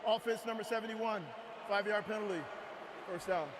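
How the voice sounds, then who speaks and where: A man's voice: high-pitched, fairly even intonation, moderate pace. A man refereeing, announcing penalties in clipped formal phrases. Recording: noisy stadium broadcast with loud crowd.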